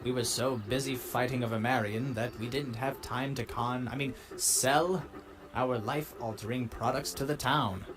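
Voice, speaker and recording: A man's voice: mid-range voice, fairly even intonation, moderate pace, lightly acted. A man voicing a wistful traveling salesman pony in a cartoon comic dub, telling his tale with earnest melodrama. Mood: bitter. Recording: very loud music underneath.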